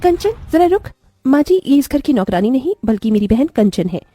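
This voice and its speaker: Woman playing a high-voiced, protective young bride. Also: big pitch swings, fairly quick, warm tone, dry recording, studio quality. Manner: earnest, pleading. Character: kind, protective young bride, loyal to her stepsister.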